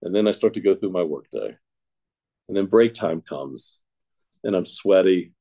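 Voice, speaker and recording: A man with a somewhat low voice, from Ohio, shares his recovery story in a casual, meandering way. He piles on fillers and restarts and turns his own mistakes into dry, self-deprecating jokes. It sounds like an unscripted talk to a group. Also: animated, moderate pace, gravelly, warm tone, breathy edge. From Ohio, United States. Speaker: speaker sharing a recovery story.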